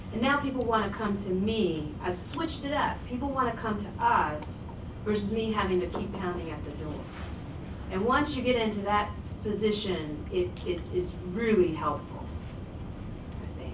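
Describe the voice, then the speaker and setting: Woman panelist, energetic storyteller, distant mic in a noisy echoing room. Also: mid-range voice, a little slow. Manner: energetic, animated storytelling with tips. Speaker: panelist, government program leader. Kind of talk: panel discussion answer. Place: conference room. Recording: echoey room, far from mic, background noise.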